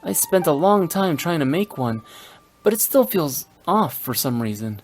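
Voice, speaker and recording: A man's voice: on the high side, sing-song, unhurried, a little mellow. An actor playing an earnest, modest young soldier boy in a video game, polite and unsure of himself. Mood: earnest, flustered. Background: music.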